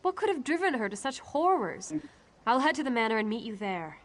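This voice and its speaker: A devout fantasy game woman with a fairly high voice. She speaks earnestly and piously, grieving for her people. Faint noise sits behind her. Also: very animated, fairly quick. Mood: sorrowful, pious. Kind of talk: scripted video game dialogue.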